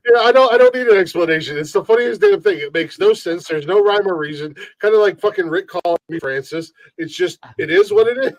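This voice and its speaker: A man's voice: high voice, fairly quick, few pauses, dry recording, low-quality recording. A man co-hosting a casual live-stream podcast, joking loosely and laughing often as he talks. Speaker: podcast co-host.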